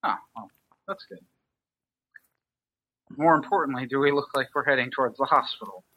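Wry man with a husky bright voice. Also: medium pitch, moderate pace, no room sound, muffled recording. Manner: wry, dry joking. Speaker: player. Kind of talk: casual tabletop play.

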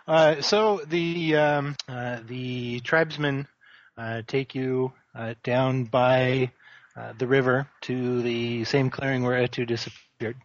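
A man running a tabletop game as game master, narrating with many pauses and fillers. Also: mid-range voice, steady tone, no room sound. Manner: halting, many ums and uhs. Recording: dry, dull lo-fi call audio.

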